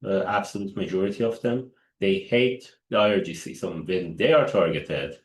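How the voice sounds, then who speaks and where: A very low-voiced man from Iran, Persian his first language, answers questions at a press briefing. He speaks earnestly and halts to restart his phrases. The sound carries a noticeable room echo. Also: moderate pace. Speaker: guest analyst.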